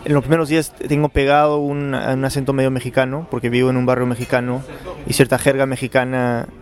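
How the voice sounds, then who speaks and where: A novelist, a man, answering interview questions thoughtfully, with frequent pauses and repeated words. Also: medium pitch, little pitch movement. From Peru.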